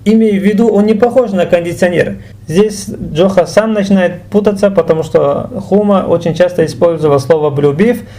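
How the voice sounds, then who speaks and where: A man teaching a language, explaining and translating phrases in a deliberate, instructional way. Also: sits high, warm tone.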